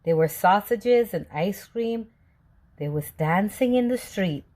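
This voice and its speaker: A woman reading a children's storybook aloud with deliberate, expressive phrasing. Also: medium pitch, slight background noise. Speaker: storybook reader.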